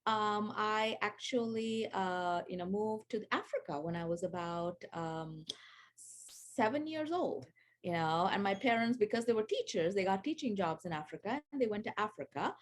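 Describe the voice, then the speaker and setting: A woman's voice: mid-range voice, animated, moderate pace. A woman in her forties from Sri Lanka, chatting as an interview guest with lots of fillers and restarts. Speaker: guest, AI education founder. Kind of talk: casual interview over video call.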